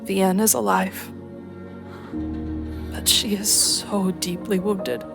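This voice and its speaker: A woman's voice: mid-range voice, steady tone, takes it slowly, creaky. A woman playing a tabletop game, narrating dramatically in the third person. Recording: music behind the voice.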